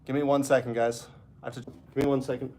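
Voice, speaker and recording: A man's voice: medium pitch, fast talker. A man who casts esports, chatting casually to his stream from a hotel room, with a stuffy nose. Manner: rambling, relaxed, talking to chat. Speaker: esports caster. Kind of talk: casual livestream chat.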